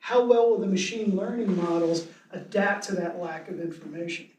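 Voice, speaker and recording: A man's voice: sits high, moderate pace, a little mellow. A man asking a spontaneous question from the audience at a talk. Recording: echoey room, distant mic, faint noise.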